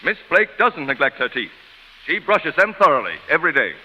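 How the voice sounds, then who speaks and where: An actor playing an excitable, objecting defence lawyer in a 1930s radio advertisement sketch. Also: male voice, fairly high pitch, fairly quick, muffled recording. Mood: indignant. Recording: reverberant 1930s radio broadcast.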